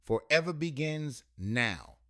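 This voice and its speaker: A man reading the part of a warm, loving middle-aged mother who speaks in soothing, folksy phrases. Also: a little high, expressive, slow pace, no room sound, studio quality, voice pushed up, heavily acted. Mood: tender.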